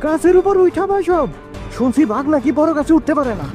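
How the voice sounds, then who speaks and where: A man's voice: very high voice, lively intonation, fast, loud music behind the voice. A man voicing a bold, impatient village fisherman in a cartoon horror story, with brusque colloquial speech and a rural Bangladeshi accent. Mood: urgent.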